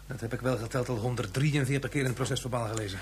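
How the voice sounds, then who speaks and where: An actor playing an informal, dryly exasperated laboratory technician in a radio drama. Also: male voice, mid-range voice, steady tone, rapid-fire, lightly acted. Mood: wry. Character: brisk, wry male laboratory technician, colleague of scientist.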